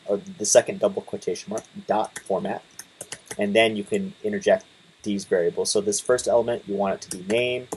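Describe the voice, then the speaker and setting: A man in his twenties casually talking through a coding tutorial, relaxed, with lots of fillers and restarts. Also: on the low side, a little hiss or hum, rough recording. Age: 20s. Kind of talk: casual screen-share tutorial.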